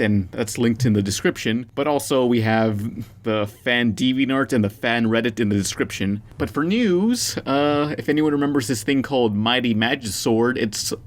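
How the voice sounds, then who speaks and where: A man with a medium-pitched voice hosts a casual podcast. He talks in an offhand, blunt way, tossing out opinions conversationally. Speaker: podcast host.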